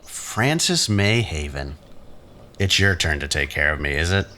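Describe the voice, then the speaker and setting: A man's voice: sits low, big pitch swings, unhurried, sharp tone, heavily acted. An actor playing a needy, lovelorn, sardonic man in an audio drama, with a dramatic, wheedling manner.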